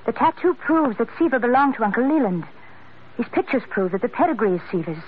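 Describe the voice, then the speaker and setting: A woman's voice: fairly high pitch, very animated, fast. Casting: earnest, pleading young woman with a secret, classic radio melodrama, tinny vintage sound with light hiss. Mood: earnest, insistent. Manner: earnest, dramatic, insistent. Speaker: radio drama actress. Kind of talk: old-time radio drama acting. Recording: tinny, noisy old radio recording.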